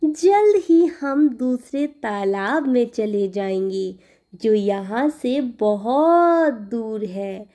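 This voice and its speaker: Woman as an earnest, high-voiced cartoon swan. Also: lively intonation, drawn-out words, mellow. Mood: regretful. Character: caring swan friend, earnest, firm and exasperated. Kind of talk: animated children's fable acting.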